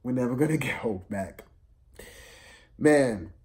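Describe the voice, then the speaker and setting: A man giving a casual, unscripted film review rant, exasperated and slangy, repeating himself for emphasis in a halting way. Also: medium pitch, lively intonation, a little mellow.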